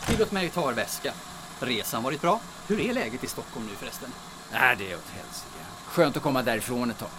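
A man's voice: mid-range voice, animated, lightly acted. An actor playing a man in polite small talk between taxi driver and traveller in an audio drama. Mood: polite, guarded. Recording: clear engine and street noise behind. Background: vehicle engine ambience.

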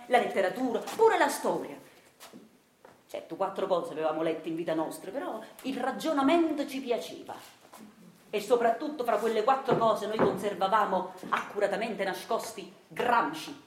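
A woman's voice: medium pitch, natural voice. A woman playing a meek, henpecked, middle-aged Neapolitan clerk in a theatrical radio monologue, telling a resigned and self-pitying tale. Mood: wistful. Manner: rueful, self-pitying storytelling. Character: meek henpecked Italian clerk of forty-seven, resigned and wistful. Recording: roomy echo, faint noise.

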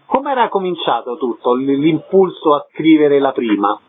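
Lively man interviewer, thin phone-like sound. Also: on the high side, normal speed, slightly rough. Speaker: radio interviewer. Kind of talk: conversational radio interview. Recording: narrow, phone-like radio sound.

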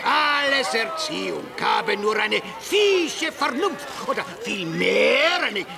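A man's voice: high voice, very animated, slow, creaky, full character voice. An actor in a radio drama playing an exuberant fairground barker who shows off performing animals with pompous, broken showman patter. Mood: bombastic showing off. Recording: loud music behind the voice. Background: music.

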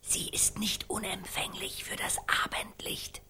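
An actress in a radio play voicing a woman prompter who slowly and insistently whispers lines in a breathy voice. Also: pushed and bright. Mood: hushed, insistent.

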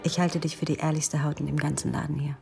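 Casting: wry, flirtatious police-office woman for radio drama, deep voice, music behind. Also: flat delivery, fast talker, creaky. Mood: wry, frank. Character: self-possessed, teasing, frank woman at police headquarters. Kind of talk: German radio drama acting.

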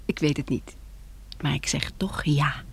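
A woman's voice: low-pitched, fairly even intonation, a little slow, gravelly, in a whisper. An actress playing a frank, wry young woman in intimate radio drama dialogue, with a candid, teasing way of talking. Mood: tender.

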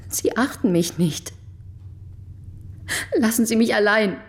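An actress playing a proud young princess in a radio drama, curt and easily wounded. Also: female voice, a little high, slow. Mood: hurt, confused.

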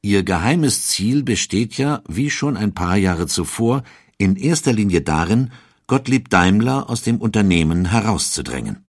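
A man narrating a scripted historical documentary in a measured, even storytelling manner. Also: lowish voice, breathy edge, no echo, clean studio sound.

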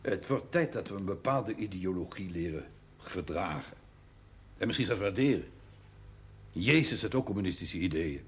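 A man's voice: sits low, lively intonation, normal speed. A man acting in a television drama as a blunt, sardonic, outspoken village doctor. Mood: dry, sardonic. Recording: echoey hall, noisy old TV soundtrack.

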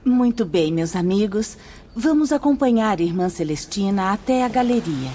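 A Brazilian woman playing a calm, authoritative spirit mentor in a radio drama, speaking in a measured, formal, didactic manner. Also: mid-range voice, close to an everyday voice. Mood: composed.

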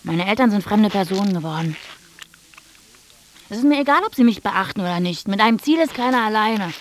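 A woman's voice: mid-range voice, lively intonation, brisk, a little hiss or hum, close to an everyday voice. An actress playing a defiant, detached teenage girl in a literary radio drama monologue. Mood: cold, detached.

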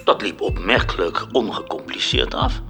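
An actor playing an aloof, disapproving onlooker in a radio play, with a raspy voice. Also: male voice, deep voice, very animated, moderate pace, bright, forceful tone, soft background music, heavily acted. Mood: displeased, dry.

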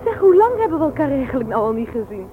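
Gushing, high-pitched young woman. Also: big pitch swings, quick pace, narrow, tinny audio, slight background noise. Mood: curious. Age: young adult. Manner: gushing, inquisitive. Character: chatty, curious, slightly vain young woman friend. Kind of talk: vintage Dutch radio play acting.

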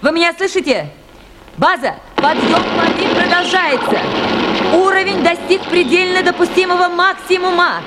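A woman's voice: very high voice, normal speed. An actress playing a resolute, commanding woman radio operator with a firm, urgent delivery in a Soviet radio play. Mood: insistent. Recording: very noisy 1980s radio drama recording.

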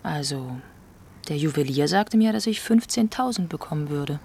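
A woman's voice: low-pitched, animated, moderate pace, gravelly, a little mellow, slight background noise. An actress playing a nervous, conflicted woman confiding in her partner, hesitant and defensive, in a naturalistic radio drama.

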